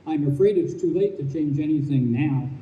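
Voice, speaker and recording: A man's voice: on the high side, moderate pace, muffled recording, lightly acted. A man playing a formal, officious gatekeeper of heaven in an old-time radio play. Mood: measured, regretful. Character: officious, patient heavenly gatekeeper, a male spirit. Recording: echoey noisy live stage, distant microphone.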